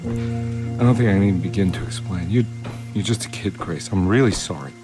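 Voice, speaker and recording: A man's voice: low voice, lightly acted. Troubled game detective, male man acting, hesitant and worn down, naturalistic delivery over prominent music. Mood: remorseful, weary. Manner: halting, hesitant. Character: troubled, shaken, remorseful male private detective.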